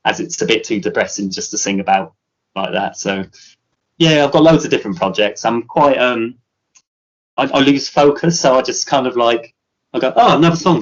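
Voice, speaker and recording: A man's voice: mid-range voice, animated, normal speed. British guy rambling about his music, lots of ums, kinda muffled lo-fi audio. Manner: rambling, many fillers and restarts. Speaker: musician guest. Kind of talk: casual interview chat.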